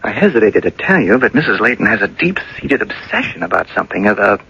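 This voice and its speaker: An actor playing a grave, careful doctor in a radio drama, speaking haltingly and clinically. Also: male voice, fairly low pitch, very animated, vocal fry, bright, forceful tone, slightly rough, exaggerated voice. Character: grave, hesitant male doctor breaking delicate news. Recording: dull, lo-fi old radio recording.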